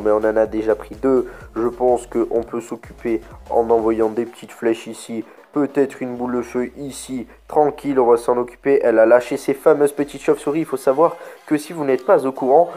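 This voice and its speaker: A man with a cold giving live commentary on his own gameplay. He is excited and keeps pointing things out to his viewers. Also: mid-range voice. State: has a cold, stuffy. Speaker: gaming video creator.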